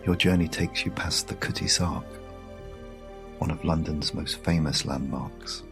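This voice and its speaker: A British man narrating a guided sleep meditation story in a hushed, soothing, measured way with long pauses. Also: rumbling low, fairly even intonation. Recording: music behind the voice. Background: music.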